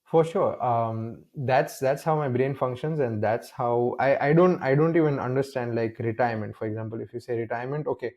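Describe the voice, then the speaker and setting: A man's voice: mid-range voice, brisk, few pauses. A young man from India, a guest in an interview, telling stories animatedly, acting out little dialogues and often restarting his sentences. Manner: animated storytelling, acts out dialogue, many restarts. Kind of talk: casual conversational interview.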